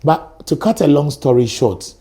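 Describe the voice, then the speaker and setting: A middle-aged Ghanaian man with a Ghanaian accent, a guest on a talk show, telling stories in an animated way. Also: a little high, moderate pace, slightly rough. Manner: animated storyteller, acts out sounds and quotes. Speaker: guest, educator. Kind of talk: studio talk show conversation.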